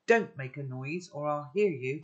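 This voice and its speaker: A woman's voice: low-pitched, animated, normal speed, dull audio. An older woman reading the lines of a guarded, stern teenage boy, curt and commanding.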